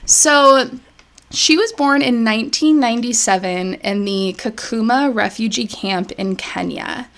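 A woman's voice: on the high side, unhurried. A woman co-hosting a podcast, casually retelling a life story with lots of fillers. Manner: relaxed, filler-heavy retelling. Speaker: podcast co-host.

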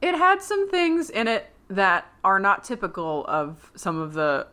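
A woman co-hosting a podcast in casual chat, her voice husky from a cold, rambling and correcting herself as she goes. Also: medium pitch, wildly swooping pitch, unhurried, sharp tone. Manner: rambling, self-correcting, laughing often. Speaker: podcast co-host. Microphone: remote call.

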